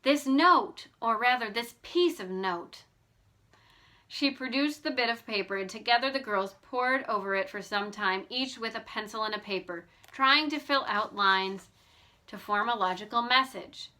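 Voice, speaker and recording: A woman reading a novel aloud as an amateur audiobook narrator, in an expressive storytelling style. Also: medium pitch, moderate pace.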